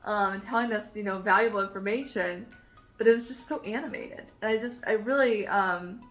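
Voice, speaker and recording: A woman's voice: mid-range voice, fairly quick, a bit bright, soft background music. A woman vlogger and composer chatting enthusiastically, rambling with lots of "like" and "um". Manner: enthusiastic, rambling with many fillers. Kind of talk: casual chat.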